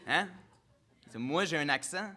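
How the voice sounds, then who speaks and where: A man's voice: fairly high pitch, lively intonation, a little slow, background noise. A man playing a slangy Beauce country guy. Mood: teasing. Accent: Beauce, Quebec. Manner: slangy, teasing. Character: blunt young man from the countryside, newly moved. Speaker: actor in a public reading. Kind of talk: staged play reading.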